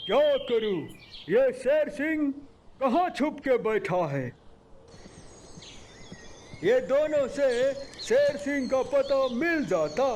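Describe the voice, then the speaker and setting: High-voiced bullying cartoon gorilla. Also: male voice, big pitch swings, slow pace, pauses often, noisy background, full character voice. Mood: frustrated. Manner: menacing, demanding. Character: threatening, bullying male gorilla, suspicious and gruff-tempered. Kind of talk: Hindi animal cartoon dialogue.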